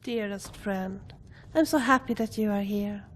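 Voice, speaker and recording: A woman voicing an affectionate, jealous adventurer companion in a fantasy game, with a creaky voice. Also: medium pitch, unhurried, slight background noise, natural voice.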